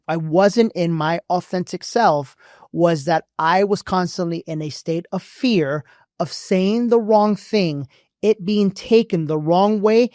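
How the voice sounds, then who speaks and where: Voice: medium pitch, a little mellow, dry recording. Blunt, preachy podcast co-host, dry mic. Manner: blunt, emphatic, swears freely; preachy motivational delivery. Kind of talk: casual relationship podcast chat.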